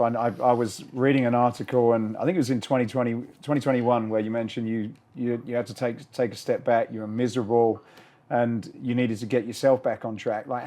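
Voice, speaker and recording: A male podcast host asking questions in a halting way, repeating words as he goes. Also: mid-range voice, dark tone. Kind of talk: interview questions.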